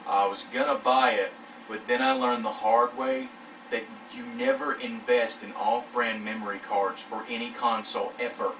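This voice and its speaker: A man's voice: medium pitch, lively intonation, normal speed, a bit bright, a little hiss or hum. A man in his late teens chatting casually in a vlog, rambling in an informal, self-deprecating way. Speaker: vlogger. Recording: distant mic, clear music behind.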